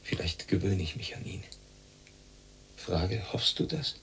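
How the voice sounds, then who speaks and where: Radio monologue self-questioning lonely man: deep, gravelly, tense-edged, clipped delivery. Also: lively intonation, measured pace, fairly bright tone, a little hiss or hum, full character voice. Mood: brooding. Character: brooding, lonely man questioning and answering himself.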